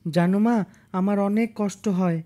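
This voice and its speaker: Woman acting a mellow, earnest young boy activist. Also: mid-range voice, normal speed, close to an everyday voice. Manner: preachy, exhorting. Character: earnest devout young boy leading a boycott campaign. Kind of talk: cartoon dialogue.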